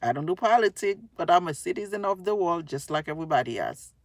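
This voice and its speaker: A woman's voice: fairly low pitch, lively intonation, fast, dry recording. A woman giving a casual, earnest, rambling monologue to her viewers, often repeating her phrases. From Haiti. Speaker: tarot reader and spiritual video creator.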